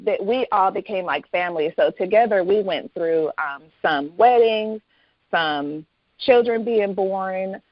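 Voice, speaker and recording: A woman's voice: mid-range voice, a little slow, no echo, dull audio. A woman speaking as a guest author in a conversational, reflective way. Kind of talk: reading aloud from own novel, then interview chat.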